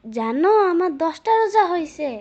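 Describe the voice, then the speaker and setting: A woman's voice: very high-pitched, big pitch swings, moderate pace, a little mellow, dull audio. A woman voicing a boastful child in an amateur cartoon. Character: boastful child, little girl's playmate.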